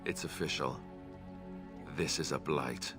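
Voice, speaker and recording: An actor playing a young warrior companion in a video game, wry and earnest, speaking in an understated way. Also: male voice, very deep voice, fairly even intonation, slow, music in the background. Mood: wry, resolute. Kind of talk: video game character dialogue.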